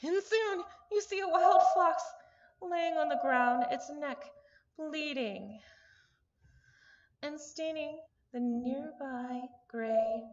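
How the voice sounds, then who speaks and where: Woman, high voice, casual game read-aloud, informal self-interrupting asides, dull recording. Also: slow. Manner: informal, self-interrupting, apologetic about name pronunciation. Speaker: reader of a visual novel.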